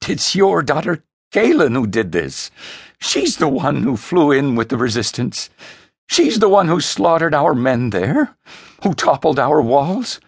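A man voicing a wretched, petulant prince in a fantasy audiobook, pleading and defiant in a hoarse, cracking voice. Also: a little high, very animated, unhurried, no echo, studio quality, pitched above the performer's own voice, exaggerated voice. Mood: hysterical defiance. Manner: pleading, stammering, spitting outbursts. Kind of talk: dramatic fantasy audiobook character voice.

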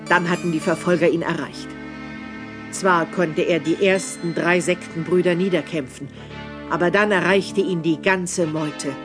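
A man narrating a radio play in a calm, even storytelling manner. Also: a little high, unhurried, over music. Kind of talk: scripted narration.

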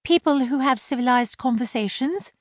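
A woman's voice: high voice, moderate pace, dry recording, clean studio sound, pitched above the performer's own voice. A woman voicing a proud, prickly adult mermaid who insists on being treated with respect. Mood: indignant. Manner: indignant, crisp.

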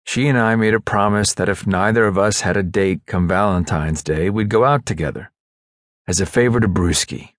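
A man's voice: on the low side, normal speed, no room sound, clean studio sound, close to an everyday voice. A man voicing a stubborn, weary Navy SEAL with a curt, firm manner in an audiobook. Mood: defensive. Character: guarded, stubborn male Navy SEAL, weary team leader.

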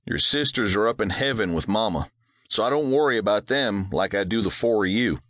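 A man playing a devout widowed rancher father from Texas, speaking softly and patiently to his young daughter. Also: on the low side, expressive, brisk, no room sound, clean studio sound. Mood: patient, gentle. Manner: soft, patient, reassuring.

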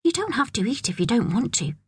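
A woman voicing a kindly, anxious woman in a children's audiobook, speaking gently and coaxingly to a child. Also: a little high, sing-song, fast talker, husky, no echo, voice pushed up, heavily acted. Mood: concerned. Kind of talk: acted children's audiobook dialogue.

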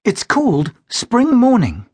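A male narrator voicing a young widowed woman, pitched up and speaking softly and earnestly. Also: huge pitch swings, slow, slightly rough, dry recording, full character voice. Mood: wistful. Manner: raised pitch, soft and earnest. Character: young widowed woman, heartsick yet composed.

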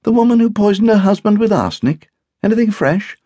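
A man voicing a brisk, opinionated English wife and hostess in an audiobook, with an arch, emphatic manner. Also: sits high, animated, warm tone, dry recording, voice pushed up. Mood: scornful.

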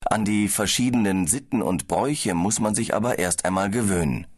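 Low male, calm and explanatory, scripted audiobook dialogue. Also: moderate pace. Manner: calm, explaining, slightly tired. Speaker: business traveller in a language-course dialogue.

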